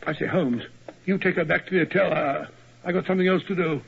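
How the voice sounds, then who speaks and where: A flustered middle-aged doctor sidekick who stammers and blusters. His voice sits high, with a gravelly crackle and a bright edge. It comes through a dull, lo-fi 1940s radio broadcast. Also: steady tone, fast talker. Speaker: actor and sign-off host.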